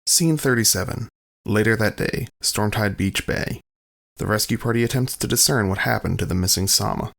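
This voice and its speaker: A man narrates an audio drama, formally announcing scenes. His voice is fairly low with plenty of gravelly fry. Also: normal speed, fairly bright tone.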